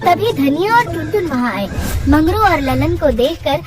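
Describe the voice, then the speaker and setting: A woman's voice: high voice, expressive, normal speed, far from the mic, soft background music. A woman giving scripted narration for a cartoon story.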